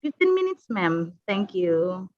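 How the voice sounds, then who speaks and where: A woman's voice: medium pitch, very animated, measured pace, no room sound. A woman from Indonesia moderating an online conference, hesitant, with many fillers and restarts. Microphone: video call. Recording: dull video call audio.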